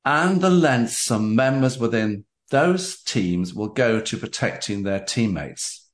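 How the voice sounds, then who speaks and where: Male book-show host, animated and emphatic, swinging pitch, roomy echoing sound. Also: takes it slowly. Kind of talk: interview, discussing a book with its author.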